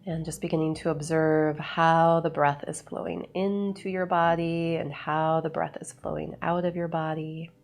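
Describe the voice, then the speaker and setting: A woman leading a guided meditation in a soothing, unhurried way, with measured phrases and long pauses. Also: sits low, little pitch movement, darkish tone. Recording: voice over background music. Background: background music.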